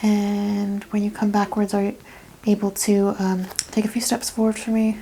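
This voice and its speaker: A soft-spoken woman doing an ASMR chiropractic roleplay, giving gentle clinical questions and step-by-step instructions, often dropping to a whisper. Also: medium pitch, monotone, normal speed, creaky, slight background noise, low-quality recording.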